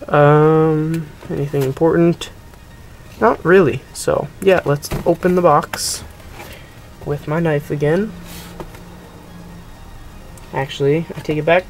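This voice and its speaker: A man's voice: fairly high pitch, steady tone, takes it slowly, pauses often, soft background music. A young man casually narrating a gadget unboxing, rambling and hesitant with many ums. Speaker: unboxing video host. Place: indoors at a table.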